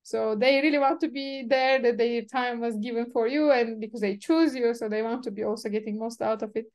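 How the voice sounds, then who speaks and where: A woman whose first language is Turkish, hosting a webinar and chatting quickly with many fillers over a video call. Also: a little high, fairly even intonation, a little mellow. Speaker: webinar organizer. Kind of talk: casual webinar chat.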